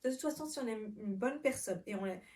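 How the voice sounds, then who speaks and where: A woman's voice: medium pitch, brisk, a little mellow, far from the mic. A Belgian-born woman in her twenties telling her personal story to camera in an earnest, reflective way, with frequent fillers and restarts. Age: 20s. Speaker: vlogger.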